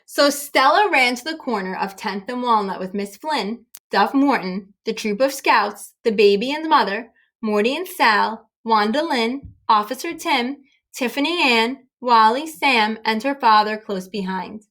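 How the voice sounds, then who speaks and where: A woman with a high voice reads a picture book aloud. She is expressive and emphatic, speaking as a storyteller does to young children. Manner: emphatic, acts out dialogue, pauses to address young listeners.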